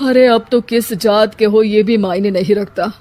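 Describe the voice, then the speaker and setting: A woman's voice: mid-range voice, steady tone, normal speed, dark tone, lightly acted. A woman voicing a frustrated, grumbling, sarcastic father in a cartoon family drama. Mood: weary, defeated. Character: frustrated, blustering father of the bride. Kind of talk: Hindi moral-story cartoon dubbing.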